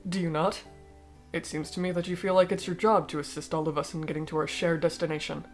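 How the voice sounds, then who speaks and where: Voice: mid-range voice, flat delivery, soft background music, close to an everyday voice. A performer in a fan dub voicing a cold, aristocratic alien noble who speaks formally and eloquently, bitter yet persuasive. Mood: measured, insistent. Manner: formal, eloquent, measured speech. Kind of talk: fan-dub voice acting of comic dialogue.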